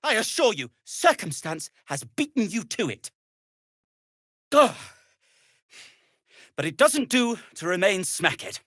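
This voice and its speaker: A man's voice: high voice, big pitch swings, slow, pauses often, sharp tone, no room sound, clean studio sound, exaggerated voice. A man playing a fussy, loyal, long-suffering middle-aged gnome in a video game, hesitant and stammering, with wry, formal wording. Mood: indignant. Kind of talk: video game character acting.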